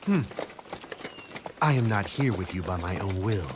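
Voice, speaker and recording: An actor playing a proud, scheming military strategist in a video game, speaking in a haughty, sardonic and deliberate manner. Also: male voice, low-pitched, expressive, background noise. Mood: weary disdain. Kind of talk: video game cutscene acting. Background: loud music.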